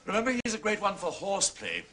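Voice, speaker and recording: An actor playing an authoritative, impatient senior police chief, brisk and commanding, in a British period detective drama. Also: male voice, sits high, wildly swooping pitch, bright, forceful tone, breathy edge, heavily acted. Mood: reasoning.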